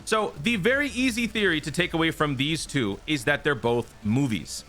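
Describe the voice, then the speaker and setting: A man's voice: a little high, expressive, normal speed, a bit bright, soft background music. A man hosting a gaming channel, casually walking through teasers and speculating, often checking in with "right?". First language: not Japanese. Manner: chatty, explanatory, frequent "right?" tags. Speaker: YouTube gaming channel host.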